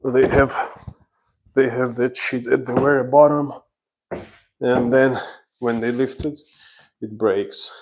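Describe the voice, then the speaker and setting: A man from Ukraine, a renovation contractor, explaining his work step by step on a job-site livestream, in slow, halting speech. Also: mid-range voice, steady tone, a little mellow, slight background noise.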